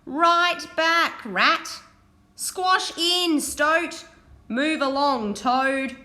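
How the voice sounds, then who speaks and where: A woman's voice: high voice, very slow, sharp tone, voice pushed up, exaggerated voice. A woman voicing a bossy, impatient female bus driver in a children's storybook. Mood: bossy, urging.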